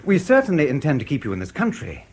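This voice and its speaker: A senior intelligence officer in a radio play, formal and politely menacing. His husky voice moves through lively rises and falls while staying courteous. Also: a little high, fast talker, heavily acted. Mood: quiet menace. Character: coolly polite, quietly threatening senior British intelligence officer. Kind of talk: radio drama acting.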